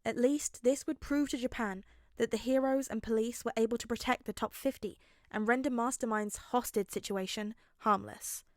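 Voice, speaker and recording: Female podfic narrator, higher register, scripted storytelling, very little room sound. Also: fairly even intonation, moderate pace, no room sound, studio quality.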